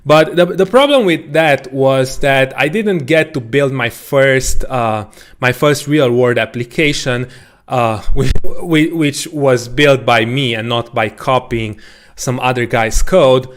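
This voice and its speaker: A man whose first language is Romanian, telling a casual personal story to camera as a coding educator, with a relaxed, self-deprecating manner. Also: mid-range voice, takes it slowly. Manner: relaxed, self-deprecating, frequent restarts and small laughs.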